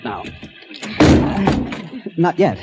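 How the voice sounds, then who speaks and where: An actor playing a strong but unsure, polite man on the run in a radio drama, speaking haltingly. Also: mid-range voice, sing-song, dull audio, loud music behind the voice. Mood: anxious, straining.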